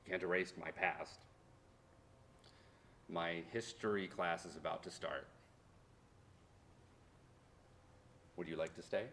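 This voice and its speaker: Low-voiced haunted professor, played by a man. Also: steady tone, bright, forceful tone, slight background noise. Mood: solemn, defiant. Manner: dramatic, deliberate, swelling and dropping for emphasis. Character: haunted Mexican-born history professor orphaned by deportation, wounded, defiant. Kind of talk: theatrical monologue in a staged reading.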